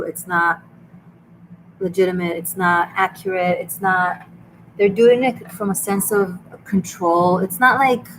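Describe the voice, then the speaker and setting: A woman's voice: lowish voice, a little hiss or hum, poor audio. A woman with an Israeli Hebrew accent, hosting a live tarot and dream reading in an earnest, chatty way with many restarts. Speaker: tarot reader and host. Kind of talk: live stream reading for viewers.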